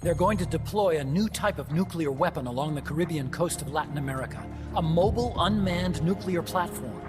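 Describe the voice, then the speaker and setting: A man's voice: on the high side, expressive, fairly quick, close to an everyday voice. Earnest young scientist, voiced by a man: lighter voice, lecturing, over score. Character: earnest young male engineer scientist, talkative. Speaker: game character. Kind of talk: game cutscene voice acting. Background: game score music.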